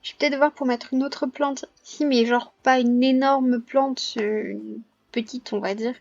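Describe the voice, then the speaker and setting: A young woman with a high voice, hoarse from a cold, talks through her gameplay in a casual, halting way. She pauses often and corrects herself. The recording is close and dry, with a slightly muffled, lo-fi sound. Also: fairly even intonation, darkish tone, no echo. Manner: hesitant, many filler pauses and self-corrections. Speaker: gaming vlogger.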